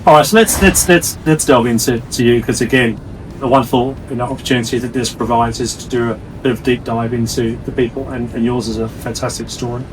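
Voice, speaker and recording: A man's voice: mid-range voice, fairly even intonation, noisy background. Male interviewer, slightly distant mic. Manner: wordy, halting phrasing with repeated words. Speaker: interviewer, host. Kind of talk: conversational interview.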